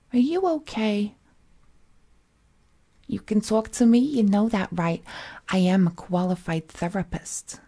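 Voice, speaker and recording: A woman's voice: on the high side, warm tone, husky, full character voice. A woman playing a cheerful, possessive villainess kidnapper in a roleplay audio drama, cooing and coaxing the listener in folksy slang. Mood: eager, coaxing. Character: cheerful, possessive female supervillain kidnapper, doting and unhinged.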